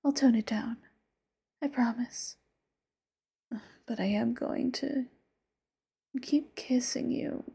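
Woman doing an obsessive undying lover, breathy whisper, gravelly fry, slow, dark tone. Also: on the high side, exaggerated voice. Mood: teasing whisper. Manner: intimate, sweetly menacing, sudden flares. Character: obsessive immortal woman, possessive doting lover. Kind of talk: whispered audio roleplay.

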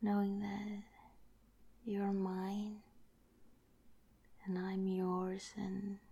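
A woman whispering tenderly and haltingly in an intimate, affectionate romantic ASMR roleplay, her voice breathy. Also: medium pitch, monotone, mellow.

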